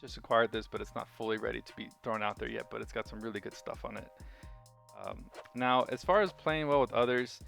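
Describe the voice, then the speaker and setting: A man's voice: mid-range voice, a little fast, vocal fry, a bit bright, soft background music. A man giving casual, unscripted video game commentary, rambling and thinking aloud as host of the review.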